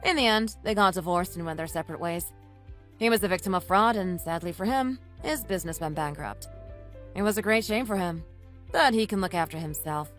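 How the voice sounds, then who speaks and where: A woman's voice: on the low side, brisk, fairly bright tone, faint music behind. A woman reading scripted story narration.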